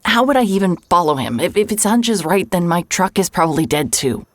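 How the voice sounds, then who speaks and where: An actress playing a stubborn, sarcastic, exasperated woman in a naturalistic audio drama, blunt and quick to swear. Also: on the low side, sing-song. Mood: sceptical.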